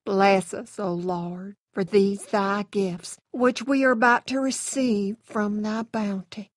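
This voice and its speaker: A woman's voice: mid-range voice, fairly even intonation, takes it slowly, dry recording, clean studio sound. An actress voicing a middle-aged, folksy Southern farm matriarch in a video game, sweetly polite and motherly with a sinister streak. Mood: solemn. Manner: sweetly polite, coaxing, homespun. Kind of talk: acted video game dialogue.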